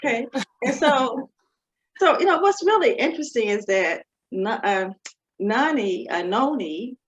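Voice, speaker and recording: A woman physician introducing herself on an online panel, halting, with many fillers and frequent laughs. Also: a little high, expressive, husky, lo-fi sound. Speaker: physician guest.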